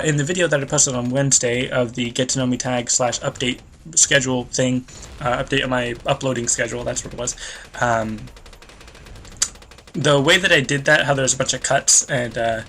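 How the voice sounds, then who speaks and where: Young male let's play host, bright tone, rambling stream-of-consciousness commentary, stuffy nose, faint keyboard typing behind. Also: mid-range voice, a little hiss or hum. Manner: rambling, loses train of thought, self-deprecating. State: stuffy nose, voice a bit worn.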